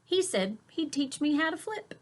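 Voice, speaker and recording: A woman reading a children's storybook aloud like a teacher, expressive and deliberate, stressing key words. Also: sits high.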